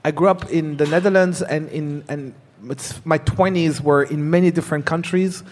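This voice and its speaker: Laughy panel guest guy, kinda high voice, echoey hall. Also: steady tone, normal speed. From Burundi. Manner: cheerful, laughing often. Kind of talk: panel discussion. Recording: reverberant hall.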